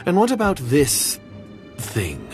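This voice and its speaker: An actor playing a stern, suspicious secret agent in a video game, speaking with formal, wary disdain. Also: male voice, a little high, wildly swooping pitch, takes it slowly, full character voice. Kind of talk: scripted video game voice acting. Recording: game music behind the voice.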